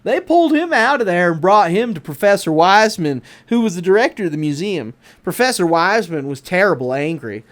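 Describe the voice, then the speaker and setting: A man narrates a picture book for children in a Southern US accent. His delivery is expressive and theatrical, leaning deliberately on key words. The pitch rises and falls in wide, lively swings. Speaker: storybook narrator. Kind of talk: expressive children's read-aloud.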